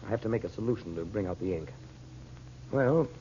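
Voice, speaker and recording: A man's voice: fairly low pitch, lively intonation, fairly quick, warm tone, lightly acted. A man doing a firm, reassuring hero, old radio drama, dull muffled sound, faint hiss. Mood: earnest. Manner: earnest and firmly reassuring. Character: steady adult man guarding a secret. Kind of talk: 1930s radio drama acting.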